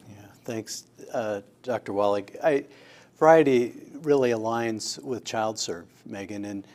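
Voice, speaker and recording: A man, giving unscripted, halting answers as an interview guest. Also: fairly low pitch, a little mellow. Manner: halting, pausing mid-sentence. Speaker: charity board leader, interview guest. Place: indoor office room, television shoot.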